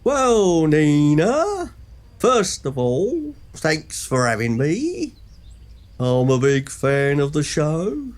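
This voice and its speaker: An actor playing a politely earnest former warlord being interviewed in a comic radio pantomime. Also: male voice, fairly high pitch, wildly swooping pitch, heavily acted. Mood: earnest menace. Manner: deliberate, halting, emphatic.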